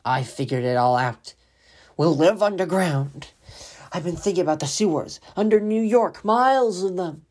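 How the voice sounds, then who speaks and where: A man's voice: sits high, normal speed, darkish tone. A man voicing a fervent, half-crazed artilleryman survivor in a radio play, ranting and insistent. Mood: scheming. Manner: insistent, ranting, punctuating with "see?". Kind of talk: old-time radio drama acting.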